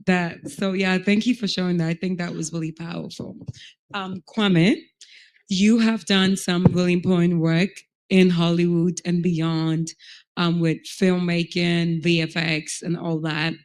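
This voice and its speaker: A woman's voice: lowish voice, level tone, unhurried. A woman from Ghana moderating a panel, speaking in a reflective, conversational way with frequent fillers.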